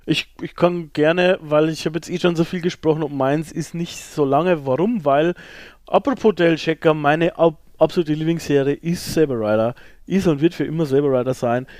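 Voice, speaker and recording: A man with a slightly high voice co-hosts a podcast in a very dry recording. He chats informally, in short bursts, dropping in joking asides. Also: normal speed, darkish tone, no echo. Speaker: podcast co-host. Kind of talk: casual podcast chat.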